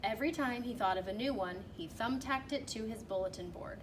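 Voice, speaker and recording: Higher-pitched woman reading a storybook, noisy recording. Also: fairly quick. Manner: animated, emphatic, drawing out key phrases. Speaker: teacher reading a picture book aloud. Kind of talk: expressive children's storybook read-aloud. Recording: clearly noisy background.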